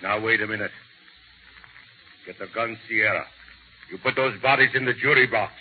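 A man playing a brash middle-aged Mexican bandit chief in a put-on Mexican accent, barking gruff orders in 1930s radio acting. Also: on the low side, moderate pace. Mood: commanding. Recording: tinny old radio broadcast.

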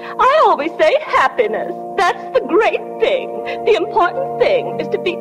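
Casting: gushy small-town wedding guest, high voice, sentimental chatter for a radio play. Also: female voice, wildly swooping pitch, measured pace, music in the background, heavily acted. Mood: gushing, sentimental. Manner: effusive, chatty, repetitive. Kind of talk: old-time radio drama acting.

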